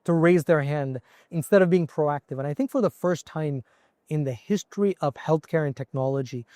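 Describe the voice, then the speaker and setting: A man's voice: a little high, moderate pace, warm tone, no room sound, studio quality. A man from India, a company CEO, answering interview questions in an earnest, explanatory way with examples. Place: conference floor.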